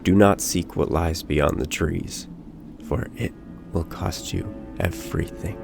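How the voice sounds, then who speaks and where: A man voicing a condemned young man cursed as a werewolf in a storybook tale, bitter and resigned, confessing gravely. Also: low-pitched, slow, over music, heavily acted. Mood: grave warning.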